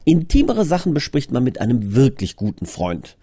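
A man's voice: mid-range voice, huge pitch swings, normal speed, mellow, slightly rough, no room sound, studio quality. A man presenting his own audio drama in a scripted but conversational trailer, explaining things earnestly.

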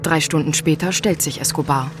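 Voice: mid-range voice, a little fast. Sober documentary narrator over music. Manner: sober, factual.

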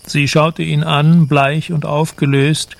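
Gravelly male narrator, solemn and literary. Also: fairly high pitch, level tone, slow pace. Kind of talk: scripted literary narration in a radio play.

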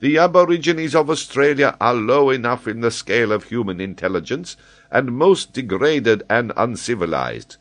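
A man voicing an excitable, learned French geographer, speaking eagerly and emphatically as he explains. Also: mid-range voice, moderate pace, pitched above the performer's own voice, natural voice. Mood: lecturing. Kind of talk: audiobook character voice.

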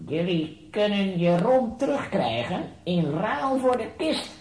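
Evil raven wizard for kids audio play, slow n threatening, big echo. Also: medium pitch, sing-song, noisy background. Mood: menacing. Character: menacing Raven, sinister bird-headed sorcerer villain. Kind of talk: children's audio play acting. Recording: roomy, echoing villain voice.

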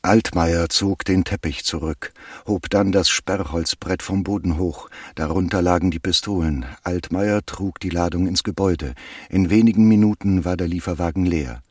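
Wry crime-story narrator, low husky voice, close dry sound, radio play. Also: male voice, moderate pace, a little mellow, no room sound. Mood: matter-of-fact. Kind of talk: German radio drama, narration and dialogue.

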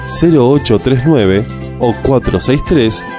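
A male voice narrates a radio ad in a slow storyteller's cadence at a mid pitch. Clear music plays underneath the whole read. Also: animated. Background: music bed.

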